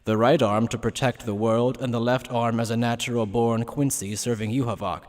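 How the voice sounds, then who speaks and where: A man's voice: mid-range voice, fairly even intonation, moderate pace. Male anime narrator in long reverb. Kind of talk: scripted dramatic narration of an anime fan dub.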